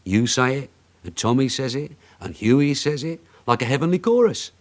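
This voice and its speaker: An actor playing a shrewd, needling British detective sergeant in a radio drama, sardonic and mock-courteous. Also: male voice, mid-range voice, expressive, normal speed, natural voice.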